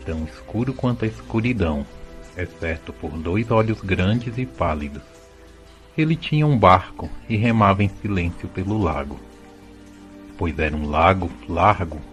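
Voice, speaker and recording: Male narrator, Brazilian accent: fairly low voice, dramatic storybook phrasing, light music bed. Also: lively intonation, a little slow.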